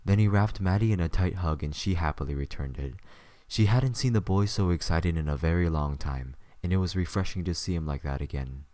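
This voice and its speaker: Male narrator, very deep, dark mellow tone, dry recording, scripted storytelling. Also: fairly quick, hardly any pauses, dry recording.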